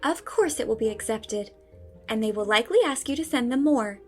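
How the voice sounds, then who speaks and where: An eager, admiring young woman, voiced by an actress, rushes to her friend's defense. Her voice sits high and bright and is easily stirred up. There's faint music behind her. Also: close to an everyday voice. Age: young adult. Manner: excitable, indignant on a friend's behalf.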